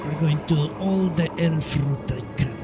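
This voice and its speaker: A man's voice: a little high, normal speed, dull audio. A man giving casual live gameplay commentary, thinking aloud with a gloomy, pessimistic outlook and some swearing. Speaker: game streamer. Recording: loud game music behind voice. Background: loud video game music and sound.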